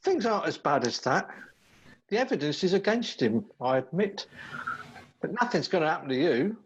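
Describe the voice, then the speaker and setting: An actor playing an earnest, sympathetic detective sergeant in a radio play. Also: male voice, on the high side, very animated, moderate pace, husky, lo-fi sound. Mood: gentle, regretful. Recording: live-streamed audio, faint noise.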